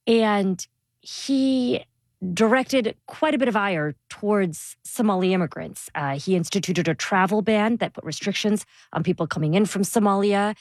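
A woman hosting an interview, asking measured, probing questions. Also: mid-range voice, no echo. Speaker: interview host.

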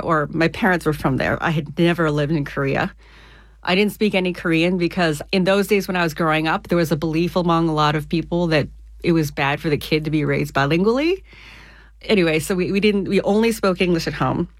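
A woman from the United States, an author being interviewed on the radio, explaining ideas clearly with everyday examples. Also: lowish voice, no room sound.

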